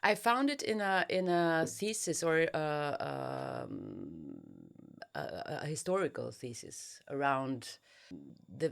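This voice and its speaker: Female interviewee, Swedish accent. Unhurried, hesitant, thoughtful delivery with noticeable vocal fry. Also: rumbling low. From Sweden. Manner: hesitant, searching for words with frequent pauses. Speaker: interviewed novelist. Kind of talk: reflective interview answers.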